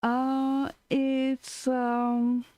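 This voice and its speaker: A woman's voice: high-pitched, completely flat delivery, extremely slow, mellow, clean tone, no echo. A Norwegian woman co-hosting a storytelling stream, chatting casually and hesitantly with frequent fillers and small laughs. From Norway. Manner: hesitant, lots of fillers and giggles. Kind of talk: casual chat.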